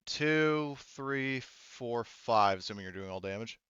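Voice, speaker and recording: A man speaking casually and haltingly, with some swearing, as game master of an online tabletop roleplaying game. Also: medium pitch, expressive, fairly bright tone, clean tone, no room sound, dull audio.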